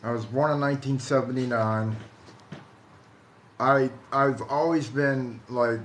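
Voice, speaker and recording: An American man in his forties talks casually to live viewers in a roomy, echoing space with faint noise behind him. He tells stories in a halting, conversational way, often doubling back on his words. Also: medium pitch. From Ohio, United States. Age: 40s. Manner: halting, repeats himself, conversational. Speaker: host of a paranormal storytelling live stream. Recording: roomy echo, light background noise.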